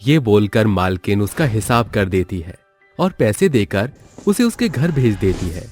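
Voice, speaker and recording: A man's voice: fairly low pitch, big pitch swings, a little slow, darkish tone, soft background music. A man narrating a scripted children's story as the storyteller.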